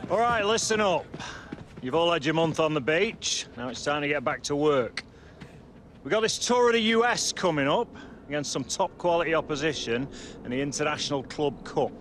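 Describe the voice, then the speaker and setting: A demanding male football coach, blunt and needling, with a slightly high voice. He barks like a game character out on a training ground, with outdoor noise behind him. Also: expressive, moderate pace, a bit bright. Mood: stern, commanding. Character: stern, demanding male football coach rallying his squad. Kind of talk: video game cutscene acting.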